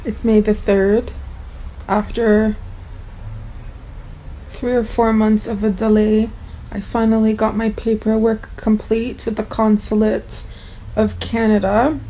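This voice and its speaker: A woman's voice: a little high, monotone, takes it slowly, pauses often, mellow, slight background noise, poor audio. A woman whose first language is Armenian, talking frankly and casually to her viewers in a vlog. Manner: chatty, frank, addresses viewers directly. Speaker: vlogger.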